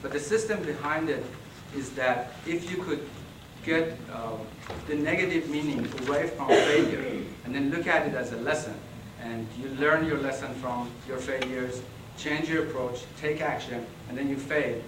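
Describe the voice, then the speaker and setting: A man giving a hesitant acceptance speech with many pauses and a flat, monotone delivery. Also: medium pitch. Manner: hesitant, many ums, self-described monotone. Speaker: award recipient. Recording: echoey hall, distant mic, background noise.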